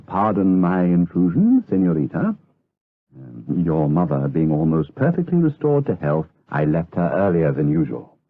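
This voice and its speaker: An actor playing an outwardly pious, lustful abbot in a gothic radio drama, with an oily, hesitant, insinuating delivery. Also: male voice, sits low, wildly swooping pitch, measured pace, narrow, tinny audio, full character voice. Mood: unctuous, probing. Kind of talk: gothic radio drama acting with third-person narration.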